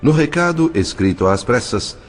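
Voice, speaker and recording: A man's voice: mid-range voice, lively intonation, moderate pace, faint music behind. A man narrating an audio drama from a script in a formal, literary manner.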